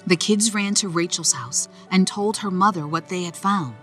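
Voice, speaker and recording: Woman narrator, lowish gentle voice, kids' read-aloud, soft music behind. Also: normal speed.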